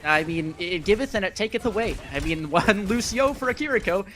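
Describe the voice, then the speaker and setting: A man's voice: sits high, animated, brisk, a bit bright. A man giving live play-by-play commentary on an esports match, with a playful, wry way of talking and plenty of quips.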